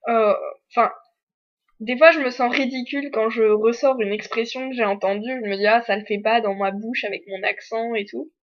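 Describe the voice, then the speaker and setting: French teen girl on exchange, rambling vlog chat, kinda high voice, muffled lo-fi audio. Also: fairly even intonation, normal speed. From France. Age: teens. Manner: chatty, filler-heavy, candid. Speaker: exchange student. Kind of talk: casual chat to camera.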